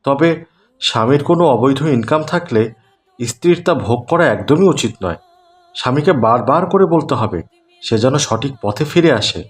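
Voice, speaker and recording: A man's voice: medium pitch, expressive, normal speed, lightly acted. A man voicing an upright, moralising father in a cartoon. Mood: admonishing. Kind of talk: Bangla cartoon dubbing.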